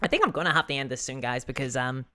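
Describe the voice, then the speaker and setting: A livestream host with a hoarse voice, chatting casually and self-deprecatingly about tabletop games and answering viewers. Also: mid-range voice, animated, quick pace, sharp tone. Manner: giggly, laughs often while talking.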